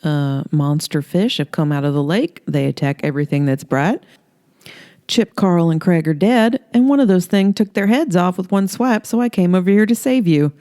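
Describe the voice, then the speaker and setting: A woman voicing a steady, blunt man telling it straight during a monster attack, in an audiobook reading. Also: fairly low pitch, normal speed, close to an everyday voice. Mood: urgent. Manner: blunt, plain-spoken.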